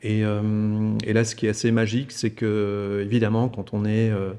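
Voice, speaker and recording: A man's voice: on the low side, completely flat delivery, moderate pace, darkish tone, clean tone, in a large hall. A man in his fifties from Alsace, a podcast guest talking casually, with many repeated words and fillers. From Alsace, France. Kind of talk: casual conversation.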